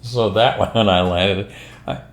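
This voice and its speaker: An older American man, a former pilot, telling anecdotes in a casual, unhurried, rambling conversational way. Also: fairly low pitch, steady tone. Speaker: retired military and airline pilot telling stories. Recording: roomy, echoing room sound.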